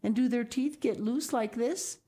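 A woman voicing a small, curious and eager girl in a high, excited child voice. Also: unhurried.

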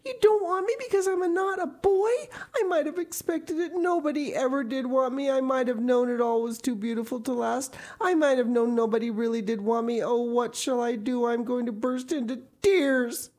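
Lady doing a high squeaky storybook orphan girl, super dramatic, gushy and pleading. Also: animated, moderate pace, a little mellow, lightly acted. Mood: distraught. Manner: gushing, theatrical, tearful pleading. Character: dramatic imaginative orphan girl, about eleven.

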